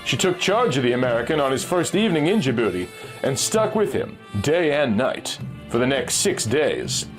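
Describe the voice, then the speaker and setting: Lively male pulp story narrator. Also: mid-range voice, normal speed, low-quality recording. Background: music.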